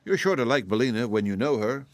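Man voicing a brave, cheerful little girl, pitched up. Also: animated, fast, no echo, lightly acted. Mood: brightly explaining. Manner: confident, reassuring explaining.

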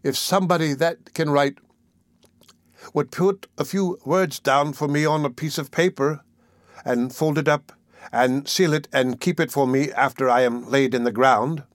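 A man's voice: fairly high pitch, steady tone, measured pace, dry recording, studio quality, lightly acted. A man voicing a meek, frail orphan boy in a storybook reading, speaking in a faint, faltering, earnest way. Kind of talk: audiobook character acting.

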